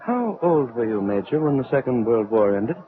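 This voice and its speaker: An actor playing a stern, gloomy older doctor of science in a radio drama, formal and admonishing in manner. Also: male voice, medium pitch, very animated, normal speed, close to an everyday voice. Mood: brooding. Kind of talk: old radio drama acting. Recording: thin phone-like old radio recording.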